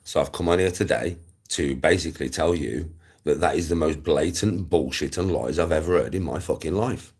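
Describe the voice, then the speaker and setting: A man's voice: rumbling low, moderate pace, a little mellow, breathy edge. A man in his forties with an English accent, ranting casually on a livestream, bluntly and with plenty of swearing, hammering points home by repeating them. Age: 40s. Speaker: live streamer.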